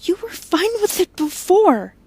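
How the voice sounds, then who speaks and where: An actress playing an anxious young woman in an audio drama, hesitant and halting in her speech. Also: extremely high pitch, sing-song, warm tone, no echo, exaggerated voice. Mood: pleading. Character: anxious young woman Ophelia, police chief's daughter, pleading.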